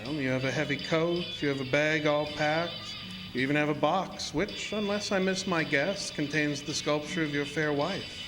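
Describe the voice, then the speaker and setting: An actor playing a sinister, courtly spook-house keeper in a horror audio drama, mock-polite and theatrical. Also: male voice, on the high side, moderate pace, music in the background, natural voice, poor audio. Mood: suave, probing. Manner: suave, mock-polite, theatrical, formal wording.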